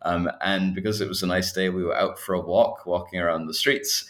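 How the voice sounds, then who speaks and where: A man from Scotland in his thirties, a historian speaking as an interview guest, with many pauses and fillers. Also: low voice, steady tone, fairly bright tone. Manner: hesitant, frequent fillers. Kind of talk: academic interview.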